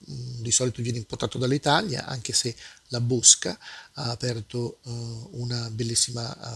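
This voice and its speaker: Gravelly husky-voiced Italian man. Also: mid-range voice. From Italy. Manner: relaxed, hesitant with frequent fillers. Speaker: food vlogger. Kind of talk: casual walkthrough narration. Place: supermarket aisles.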